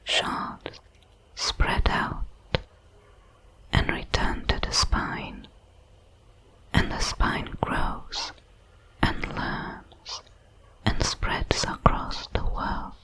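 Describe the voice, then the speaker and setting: Woman whispering as a slow, solemn alien hive creature. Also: pushed and bright, low-quality recording. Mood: solemn wonder. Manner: slow, deliberate, halting over its words. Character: many-legged alien hive-mind shard, curious, solemn, newly minded. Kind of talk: whispered ASMR sci-fi audio roleplay.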